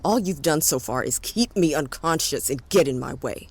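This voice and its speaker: A woman's voice: sits low, lively intonation, moderate pace. A woman playing a bitter, threatening space-fleet officer in an audio drama, with a sarcastic, commanding manner. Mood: angry, threatening. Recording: faint background noise.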